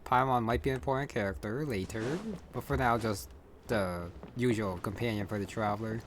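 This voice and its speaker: A man's voice: lowish voice, fairly quick, a little hiss or hum. A man giving casual, hesitant game playthrough commentary, rambling and thinking aloud as he goes. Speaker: gaming video creator.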